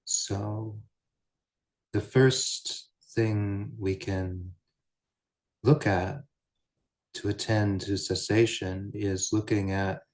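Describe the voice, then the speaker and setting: A man guiding a meditation as a teacher, speaking in measured, deliberate short phrases with pauses between them. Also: lowish voice, fairly even intonation, darkish tone. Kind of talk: guided meditation, unscripted Buddhist teaching.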